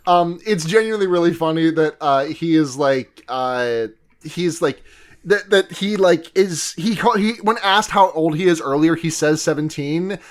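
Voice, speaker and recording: A man's voice: on the high side, unhurried. A young man co-hosting a podcast, casually recapping an anime episode, rambling and correcting himself, jokingly acting out characters' lines. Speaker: podcast co-host.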